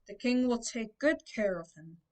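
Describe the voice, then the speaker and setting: A young female reader voicing a gruff, curt male soldier of the king in a storybook, speaking loudly. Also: on the high side, animated, unhurried. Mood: commanding. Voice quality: loud.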